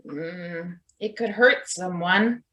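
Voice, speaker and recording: A woman acts a worried, gentle maintenance man in a stage satire. The delivery is unhurried and questioning, full of hesitation. The recording is noticeably roomy. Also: fairly low pitch, dull audio. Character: hesitant, worried, mild male maintenance worker Izzy. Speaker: actor. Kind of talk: live table read of satirical play.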